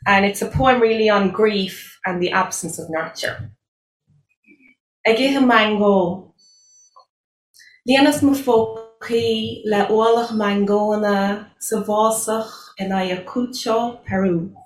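Slow-spoken Irish woman reading poems. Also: medium pitch. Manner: hesitant, many fillers between readings. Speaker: poet. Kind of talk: live poetry reading with spoken introductions. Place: live reading venue. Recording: roomy distant live recording, faint noise.

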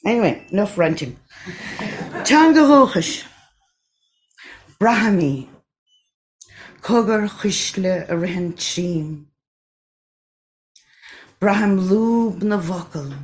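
A woman with an Irish accent, giving a poetry reading with chatty asides between the poems, reciting in a measured, unhurried way. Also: medium pitch, expressive. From Ireland. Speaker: poet.

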